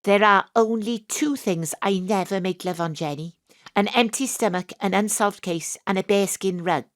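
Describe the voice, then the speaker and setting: A woman playing a brisk, tongue-in-cheek private detective with a Welsh accent, acting and narrating in a comic audio drama. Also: mid-range voice, dry recording, clean studio sound, natural voice. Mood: brisk, flirty.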